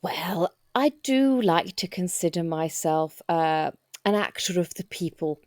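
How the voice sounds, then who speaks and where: Female, acting as a grand stage luvvie in a spoof interview, slightly low pitch, dry close sound. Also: expressive, darkish tone, no echo. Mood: self-satisfied. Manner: pompous, measured, self-amused. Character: pompous, self-satisfied stage actress recounting her career. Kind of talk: improvised mockumentary interview.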